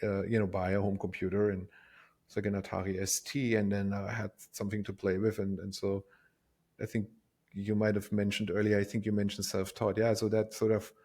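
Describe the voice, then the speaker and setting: Low-voiced German man, rambling casually. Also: level tone, brisk, a little mellow. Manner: hesitant, rambling, self-deprecating, repeats words. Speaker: interview guest, tech company co-founder. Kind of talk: casual reflective interview talk.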